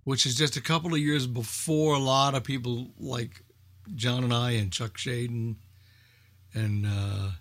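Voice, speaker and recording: A man's voice: mid-range voice, steady tone, measured pace, a bit bright. An older man chatting casually as a knowledgeable old-time radio enthusiast, hesitant and thinking aloud. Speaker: old-time radio expert on a group call. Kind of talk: casual group discussion.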